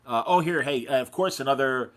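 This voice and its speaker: Male host, casual interview chat, effusive, enthusiastic, medium-pitched voice. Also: a little fast, a crowd in the background. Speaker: podcast host.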